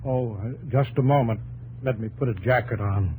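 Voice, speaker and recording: A man's voice: medium pitch, a little mellow, a little hiss or hum, close to an everyday voice, rough recording. Weary, brooding middle-aged inventor, played by a man. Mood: despondent, weary. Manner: halting, brooding, dramatic. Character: weary, bitter 53-year-old inventor professor, brooding. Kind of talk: 1950s radio drama acting. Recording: old radio broadcast, music behind.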